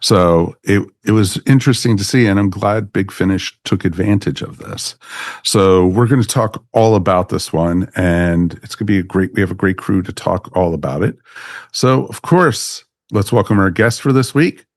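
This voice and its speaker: A man with a low voice hosts a casual podcast. He rambles enthusiastically like a devoted fan, and the sound is dry and close. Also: normal speed, no room sound. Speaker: podcast host.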